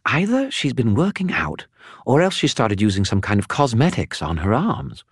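A man's voice: mid-range voice, very animated, moderate pace, slightly rough, no room sound, clean studio sound, pitched above the performer's own voice. A man voicing a chatty, breezy male spirit living in a skull. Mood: glib.

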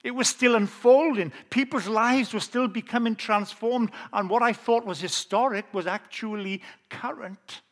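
High-voiced Welsh preacher, earnest. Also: male voice, fairly bright tone. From South Wales. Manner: earnest storytelling, slowing down on key phrases. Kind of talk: testimony sermon.

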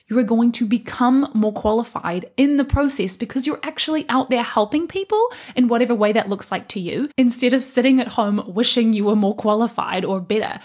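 A woman's voice: fairly high pitch, darkish tone, husky. A young adult woman hosting a solo podcast, talking as a life coach in an earnest, encouraging and emphatic way. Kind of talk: solo motivational podcast talk.

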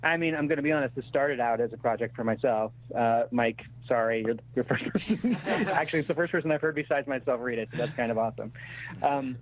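A man's voice: medium pitch, fast, slight background noise. A man answering interview questions as an actor-writer in a candid, conversational way, often backtracking as he goes. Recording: thin phone-like radio sound.

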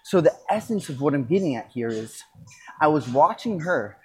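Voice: medium pitch, big pitch swings, moderate pace, a little mellow, a little hiss or hum, poor audio. A person giving a casual, reflective monologue, thinking aloud and correcting themselves along the way. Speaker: self-improvement content creator.

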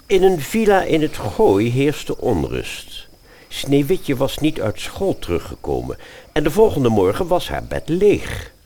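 A man narrating a comic fairy-tale audio drama as an expressive storyteller. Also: medium pitch, measured pace.

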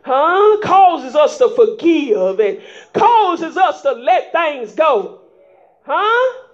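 A woman preaching a sermon in folksy vernacular, rising into shouts and prodding the congregation with repeated calls of "huh?" in a dull lo-fi recording. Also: high voice, very animated, very slow. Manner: emphatic call-and-response preaching with frequent "huh?" prompts.

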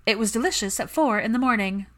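A woman voicing a haughty, sharp-tongued young witch with a huffy, dramatic manner in a story reading. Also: on the high side, fast, voice pushed up, close to an everyday voice. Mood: grudging.

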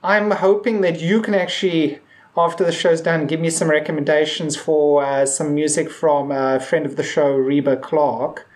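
A man's voice: a little high, little pitch movement, normal speed. A man hosting a podcast in casual chat, speaking in a hesitant, rambling and tentative way. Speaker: podcast host.

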